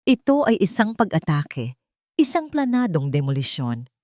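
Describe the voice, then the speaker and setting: A woman's voice: lowish voice, very animated, moderate pace, dark tone, dry recording, studio quality. A woman with a Filipino accent, narrating a dramatic scripted audiobook story.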